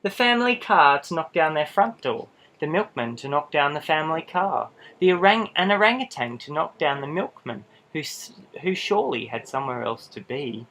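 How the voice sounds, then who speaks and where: A man reading a children's picture book aloud in an animated style, with dramatic pauses and playful asides to young listeners. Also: on the high side, slight background noise, poor audio. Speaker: storybook reader.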